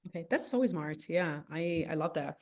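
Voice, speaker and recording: Woman, thirties, Brazilian accent, native Portuguese speaker, lowish pitch, casual interviewer. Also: expressive, normal speed. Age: 30s.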